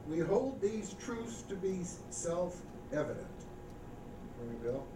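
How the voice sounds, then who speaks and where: Pastor preaching in an echoey church. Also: male voice, sits high, big pitch swings, slow pace. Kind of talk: sermon. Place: church sanctuary. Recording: echoey church recording from far off with background noise.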